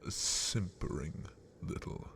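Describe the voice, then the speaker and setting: A man playing a sadistic, haughty dragon captain of the guard in an ASMR roleplay, speaking with menacing contempt in a breathy, gravelly whisper. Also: fairly bright tone, a little hiss or hum. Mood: mocking, suspicious. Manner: menacing, contemptuous, drawn-out theatrical delivery.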